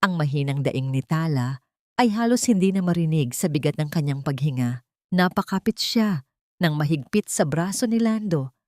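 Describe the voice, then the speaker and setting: A woman's voice: sits low, darkish tone, no room sound, studio quality. A woman delivering dramatic scripted narration for an audio drama, in a solemn, emotive storytelling manner.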